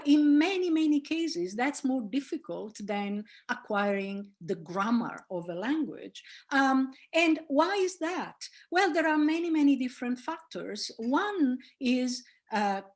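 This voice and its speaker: Middle-aged lady lecturing, fairly high voice, lots of uh's and restarts. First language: Italian. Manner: explanatory, with frequent fillers and restarts. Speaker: linguistics professor. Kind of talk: academic public lecture.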